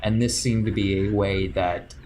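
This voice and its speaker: A man's voice: fairly low pitch, normal speed, slight background noise. Man running a tabletop game. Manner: relaxed, explaining rules and scenes. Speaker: game master.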